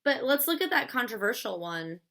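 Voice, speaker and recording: Bright-voiced woman teaching philosophy. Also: on the high side, big pitch swings, moderate pace. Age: 30s. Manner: chatty, uses fillers like "like" and "stuff". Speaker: philosophy lecturer. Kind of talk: casual classroom explanation.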